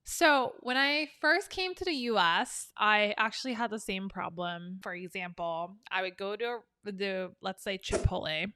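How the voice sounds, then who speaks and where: Slow-talking woman teaching English. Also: mid-range voice, pushed and bright, clean tone, dry recording. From South Korea. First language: Korean. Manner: chatty and upbeat, explaining with examples. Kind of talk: casual online English lesson.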